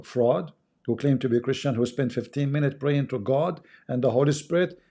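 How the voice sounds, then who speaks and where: A man from the Middle East, an Arabic speaker with an Arabic accent, delivering an impassioned preachy monologue full of rhetorical questions and words slowed down for stress. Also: mid-range voice, mellow. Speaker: religious commentator.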